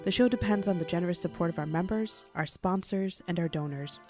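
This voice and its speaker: Female radio host for scripted show outro, slightly low voice, music bed underneath. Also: brisk, a little mellow.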